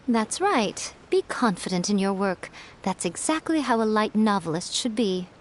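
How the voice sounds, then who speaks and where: A woman's voice: mid-range voice, very animated, moderate pace, slight background noise, natural voice. A woman voicing a sly fox-spirit priestess in a game, confident and teasing in her delivery. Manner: teasing, self-assured. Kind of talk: game cutscene voice acting.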